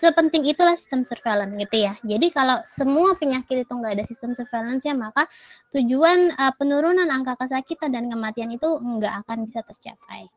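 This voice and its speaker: A high-pitched woman talks through her lecture in a loose, chatty way, mixing English terms in among many fillers. She is getting over a cough, and her voice sounds dry and close to the mic. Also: expressive, a little fast, dry recording. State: coughing, recovering from a cold. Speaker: university lecturer. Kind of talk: casual online lecture.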